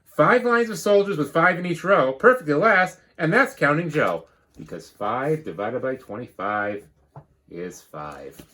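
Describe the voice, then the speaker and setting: A man with a very lively, wide-swinging voice reads a picture book aloud. He follows the rhyme with a steady bounce and slips into teacherly asides to explain. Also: fairly high pitch, a little slow. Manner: rhythmic rhyme reading, dropping into quiet explanatory asides. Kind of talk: animated read-aloud storytelling with asides.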